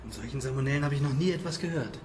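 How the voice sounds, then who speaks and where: A man's voice: medium pitch, rapid-fire, background noise, close to an everyday voice. A young man playing a curious, stubborn genetics intern in a radio drama, speaking plainly and casually. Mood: polite, insistent. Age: young adult. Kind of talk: naturalistic German radio play acting.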